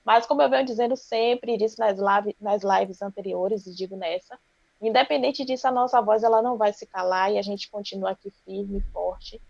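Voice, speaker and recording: Woman talking on a live, creaky voice, emphatic, dry lo-fi call audio. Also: medium pitch, fairly even intonation, moderate pace, a little mellow. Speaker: guest, political pre-candidate. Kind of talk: speech to a live stream audience. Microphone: video call. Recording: dull, roomy video call audio.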